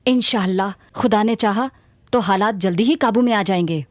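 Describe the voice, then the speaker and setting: A woman's voice: mid-range voice, normal speed, no room sound, natural voice. An actress playing a proud, determined warrior queen in a radio drama, with a regal, resolute delivery. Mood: resolute, commanding. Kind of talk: Hindi radio drama acting. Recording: noisy radio drama with battle ambience.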